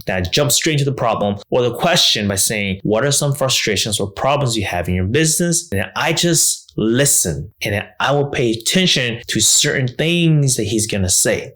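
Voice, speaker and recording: A man's voice: lowish voice, fairly bright tone. Man coaching in an instructional talk. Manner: explanatory, acts out sample client phrases. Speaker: business coach.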